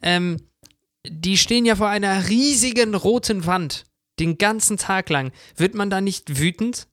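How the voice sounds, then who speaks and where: A man's voice: sits high, unhurried, a bit bright, no room sound, clean studio sound. A man co-hosting a podcast in casual, colloquial conversation. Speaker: podcast co-host. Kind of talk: casual chat.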